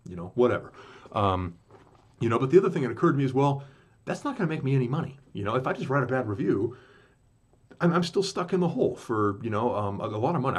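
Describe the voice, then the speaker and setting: Deep-voiced male podcaster, quick and rambling, full of restarts. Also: breathy edge. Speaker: podcast host. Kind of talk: casual rambling monologue.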